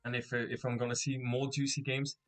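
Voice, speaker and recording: A man's voice: mid-range voice, flat delivery, fast, a bit bright. A man casting esports with English as his third language, speaking hesitantly with many restarts and laughs. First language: not English. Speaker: esports caster. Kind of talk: casual esports commentary.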